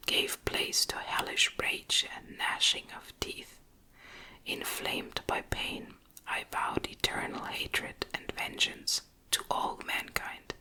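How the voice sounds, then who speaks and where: A woman reads a gothic novel aloud in a gentle, breathy ASMR whisper, with measured, deliberate phrasing, close to the microphone. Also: rough recording. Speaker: audiobook reader.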